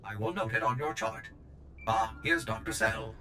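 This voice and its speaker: A precise, matter-of-fact medical droid for a video game. The voice is lowish and very bright, polite and clinical, over a faint rumble. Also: male voice, completely flat delivery, moderate pace. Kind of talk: acted video game dialogue.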